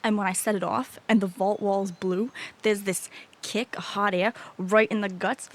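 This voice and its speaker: A woman's voice: mid-range voice, steady tone, moderate pace, natural voice. A woman playing a brash, crude, gleeful fantasy rogue with a bawdy, exuberant manner in an audio drama.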